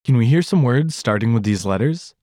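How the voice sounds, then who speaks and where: A man with an American accent hosting a scripted language lesson podcast in an upbeat, expressive way. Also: medium pitch, brisk, no room sound, clean studio sound. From United States. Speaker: podcast host.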